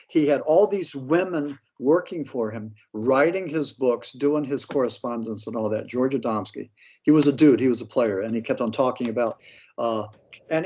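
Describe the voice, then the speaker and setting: A man's voice: a little high, a little mellow, husky, muffled recording. An American man talking casually as an interview guest, blunt, skeptical and slangy in his opinions. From Pennsylvania, United States. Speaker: interview guest, paranormal author.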